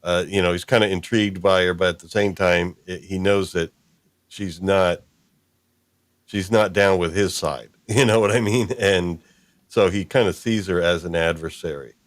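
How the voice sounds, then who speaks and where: A low-voiced man hosts a casual discussion in an informal, rambling way. He feels his way through his thoughts, and the sound is dry and close, with little room on it. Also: steady tone, moderate pace, dry recording. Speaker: podcast host. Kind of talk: casual discussion of a fan story.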